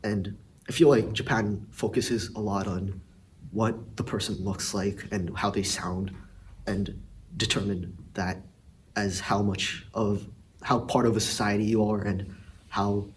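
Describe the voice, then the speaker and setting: A teenage male student giving his opinion in a measured, reflective way. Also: on the low side, steady tone, recorded from a distance, slight background noise. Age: teens. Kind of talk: opinion statement in a discussion.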